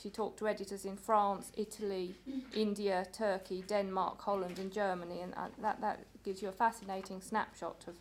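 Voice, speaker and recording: Measured woman speaking on a panel. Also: medium pitch, level tone, a little hiss or hum. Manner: measured, with stumbles and repeated words. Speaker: literary translator on a panel. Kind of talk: panel talk.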